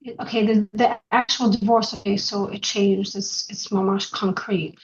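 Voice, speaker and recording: A woman's voice: mid-range voice, fairly even intonation, moderate pace, dull audio. A woman in a group discussion who speaks hesitantly, padding her sentences with many fillers. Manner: hesitant, full of "like" and "you know".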